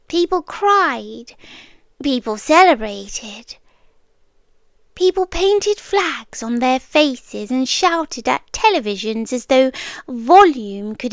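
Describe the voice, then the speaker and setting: A British woman voicing an ancient, otherworldly, obsessively devoted female being in a hushed, deliberate ASMR roleplay, half whispering close to the microphone. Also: sits high, no echo, full character voice. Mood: wistful fascination. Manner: slow, deliberate, hushed, with dramatic pauses.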